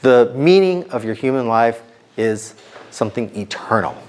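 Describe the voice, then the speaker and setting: A middle-aged man with a slightly hoarse voice, giving an informal sermon to students in a conversational way, with many ums and restarts. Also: mid-range voice, expressive, moderate pace.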